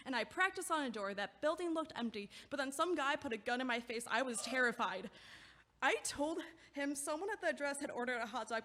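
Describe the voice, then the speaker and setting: Woman acting a high-voiced, nervously rambling young woman. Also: bright, forceful tone. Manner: rambling, self-correcting, anxiously over-explaining. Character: nervous, rambling, over-considerate young woman bringing food. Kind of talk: audio play acting, comedic monologue. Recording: echoey hallway-like long reverb.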